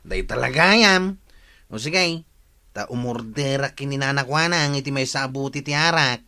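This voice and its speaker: A man's voice: medium pitch, moderate pace, bright, forceful tone. A man playing a cheeky, jovial villager in an Ilocano radio comedy drama, with an Ilocano accent. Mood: teasing.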